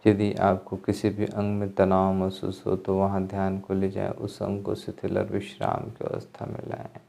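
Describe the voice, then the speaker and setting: A man giving guided meditation instruction, speaking calmly in measured phrases with pauses as an instructor. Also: deep voice, vocal fry. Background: music.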